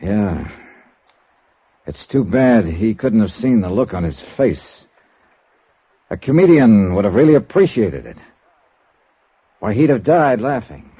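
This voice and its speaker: An actor playing a wry, tough American insurance investigator with an American accent, dry and sardonic in a 1950s radio drama. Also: male voice, on the low side, big pitch swings, unhurried, pauses often, dry recording, full character voice. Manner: dry, crisp, sardonic delivery. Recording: dull 1950s radio recording with music.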